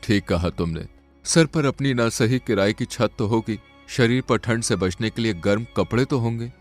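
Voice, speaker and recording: A man's voice: mid-range voice, normal speed, soft background music, natural voice. A man playing a pleading poor father. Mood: sad, resigned. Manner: pleading, sorrowful. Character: poor, desperate village father.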